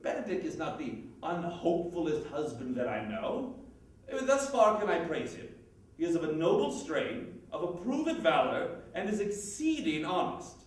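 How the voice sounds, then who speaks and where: A man's voice: on the high side, sing-song, moderate pace, pitched above the performer's own voice. Man acting jovial nobleman in an echoey room. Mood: playful, exuberant. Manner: declamatory, playful. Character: Don Pedro, jovial scheming nobleman playing matchmaker. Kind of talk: theatrical Shakespeare monologue. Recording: very echoey room, distant mic.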